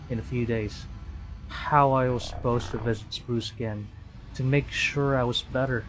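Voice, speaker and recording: A man's voice: medium pitch, slight background noise, poor audio. A man from the Philippines streaming a game, reading narration aloud in a dramatic storytelling way and reacting to it.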